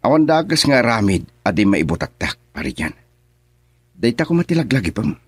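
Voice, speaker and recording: A man's voice: mid-range voice, sing-song, fairly quick, breathy edge, dry recording. A man acting a cold, taunting schemer in a radio drama, speaking in a menacing way.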